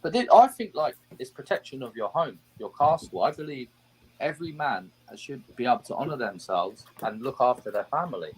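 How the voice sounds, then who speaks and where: A man's voice: medium pitch, very animated, fairly quick, breathy edge, low-quality recording. A man with an English accent chatting on a casual panel, asking plenty of questions. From England. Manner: chatty, asks many questions. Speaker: guest on a live panel.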